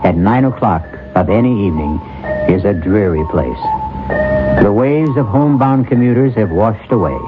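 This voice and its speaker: Male radio drama narrator, fairly low voice, tinny old broadcast, loud music underneath. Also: animated. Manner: measured, somber storytelling.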